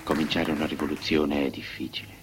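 A man's voice: a bass voice, level tone, brisk. A man speaking a dramatic quotation. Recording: loud music and crowd noise behind. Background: music and crowd.

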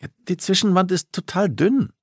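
A man's voice: sits high, expressive, moderate pace, breathy edge, no room sound, clean studio sound, voice pushed up. A man voicing a bold, impatient, practical girl in an audiobook, with urgent and hesitant delivery. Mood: dismayed. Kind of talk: audiobook character dialogue.

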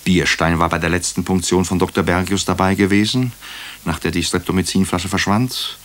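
An actor playing a brooding hospital doctor who narrates a radio crime drama in clipped, deliberate phrases. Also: male voice, deep voice, fairly even intonation, fairly bright tone. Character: brooding male hospital doctor recounting a murder case. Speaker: actor narrating as the lead character.

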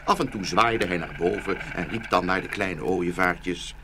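A man's voice: sits low, normal speed, creaky, noisy background. A man narrating a scripted fairy tale for children as the storyteller of a radio play.

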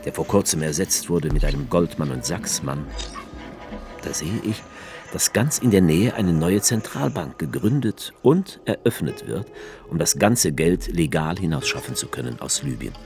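An actor playing an ironic, detached author who narrates a radio play in the first person, in a dry literary tone. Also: male voice, extremely low pitch, lively intonation, moderate pace, music in the background.

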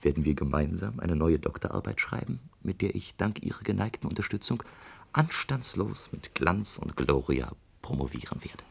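A man's voice: deep voice, lively intonation, normal speed, vocal fry, slightly rough, full character voice. A man playing a brazen young student blackmailer in a German radio play, sardonic, taunting and smug. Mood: self-satisfied. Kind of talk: German radio drama acting.

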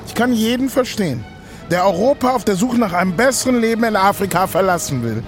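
Stage sea rescuer: earnest, declamatory, high male voice, swinging intonation. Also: lively intonation, fast talker, faint music behind. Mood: solemn, impassioned. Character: earnest, impassioned African sea rescuer of migrants. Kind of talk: theatrical stage monologue, satirical revue.

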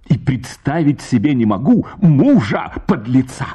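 A man's voice: mid-range voice, big pitch swings, unhurried, warm tone, slightly rough, voice pushed up, exaggerated voice. A man voicing an indignant, naive young wife who moralizes emphatically in a dramatized short story.

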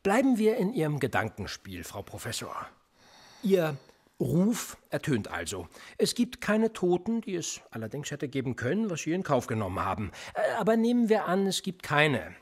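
An actor playing a world-weary, needling middle-aged police interrogator in a German radio play, pressing with rhetorical questions. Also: male voice, a little high, huge pitch swings, normal speed, husky, heavily acted. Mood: sceptical, needling.

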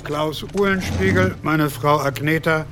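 An actor playing a rough, superstitious middle-aged miller in a radio play, blunt at home and humble before his learned guests. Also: fairly high pitch, measured pace, heavy background noise, natural voice. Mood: deferential. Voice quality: gravelly. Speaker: miller and father.

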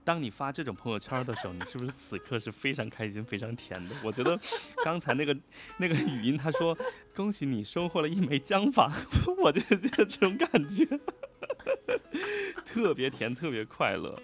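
Guy commenting on a livestream, really lively pitch swings, talks right to listeners, soft music. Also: moderate pace. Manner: animated, addresses listeners with questions. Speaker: guest on an audio drama livestream.

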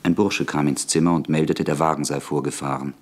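Very deep-voiced male narrator. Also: steady tone, a little fast, breathy edge. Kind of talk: scripted literary narration in a radio drama.

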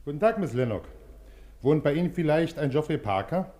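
A man's voice: fairly high pitch, animated, brisk, dark tone, a little hiss or hum, natural voice. An actor playing a plain-spoken, persistent postman in a German radio play, colloquial and matter-of-fact. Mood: insistent.

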